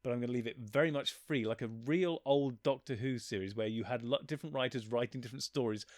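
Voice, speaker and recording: A man's voice: medium pitch, fast, a little mellow, no room sound. Man chatting casually, dry close sound. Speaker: interview guest, writer. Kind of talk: casual chat.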